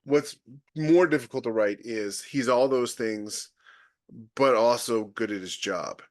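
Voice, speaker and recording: A man speaks in a punchy, emphatic way as he makes his points on a podcast. His voice is at an average pitch for a man, and he leans hard on key words. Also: unhurried. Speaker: podcast co-host. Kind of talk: casual chat.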